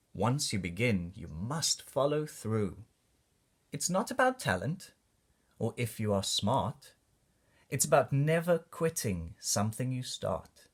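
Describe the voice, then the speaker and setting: Animated man reading a children's storybook. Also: medium pitch, breathy edge. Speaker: children's book reader. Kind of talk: storybook read-aloud for kids.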